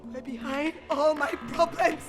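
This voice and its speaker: An actress playing a betrayed mother who pleads, shouts and sobs with bitter laughter. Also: high voice, fairly even intonation, moderate pace, loud music behind the voice, close to an everyday voice. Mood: furious. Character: betrayed mother, anguished and furious. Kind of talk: melodramatic film acting.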